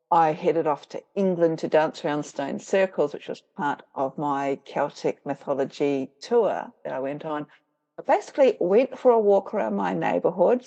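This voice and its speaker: A woman's voice: low-pitched, dark tone, lo-fi sound. A middle-aged woman with a New Zealand accent, a guest in an interview, telling her story in a reflective, easygoing way with easy laughter. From rural New Zealand. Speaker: guest, witch and author. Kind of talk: conversational interview.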